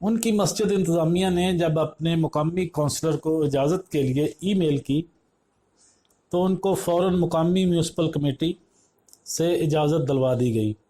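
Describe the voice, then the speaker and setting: Guy reading a news script, kinda high pitched but mellow, formal and measured. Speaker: news report narrator.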